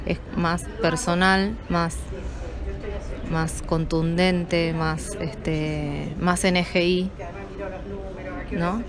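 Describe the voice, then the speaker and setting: A woman answering interview questions at an event, hesitant and halting, often repeating words. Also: lowish voice, level tone. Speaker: event attendee being interviewed. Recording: chattering crowd at an event.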